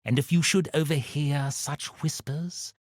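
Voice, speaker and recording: An actor playing a pompous, scheming aristocratic villain in a video game, haughty, condescending and melodramatic. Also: male voice, fairly high pitch, fairly even intonation, unhurried, no room sound, studio quality. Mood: smug, scheming.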